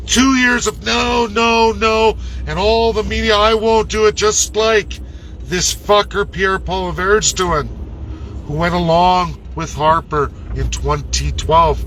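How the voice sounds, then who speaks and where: A man with a fairly high, hard-edged voice delivers a combative political rant. He speaks very slowly and emphatically, swearing and repeating words for weight. There is slight outdoor or vehicle noise behind him. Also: bright, forceful tone, poor audio. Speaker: political commentator. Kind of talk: impassioned political rant to followers.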